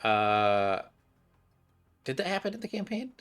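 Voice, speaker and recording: A man streaming a game with casual commentary, thinking aloud haltingly and repeating himself. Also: mid-range voice, sing-song, fairly bright tone, soft background music.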